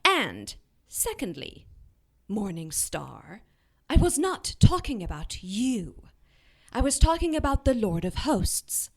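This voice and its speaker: A woman's voice: medium pitch, huge pitch swings, slow pace, heavily acted. A woman playing a haughty, menacing immortal demoness in an audio drama, with an imperious, taunting delivery. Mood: indignant, defiant.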